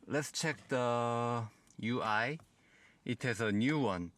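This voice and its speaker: A man with a Korean accent, whose first language is Korean, gives a car review walkthrough in plain, halting phrases. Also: medium pitch, fairly bright tone, no room sound. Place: in and around a car.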